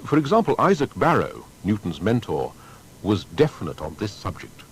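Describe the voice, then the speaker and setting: A man's voice: huge pitch swings, moderate pace, low-quality recording. A man reading scripted documentary narration in an articulate way with emphatic phrasing. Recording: faint noise and low rumble.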